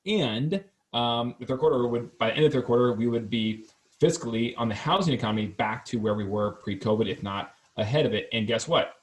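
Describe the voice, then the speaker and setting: A man talks into a podcast microphone in an office in a casual, energetic conversation. His voice sits in the middle range, and he jokes easily and tags his points with "right?". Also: medium pitch, fairly quick. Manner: energetic, joking, fact-focused, says "right?" often. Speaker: real estate podcast host.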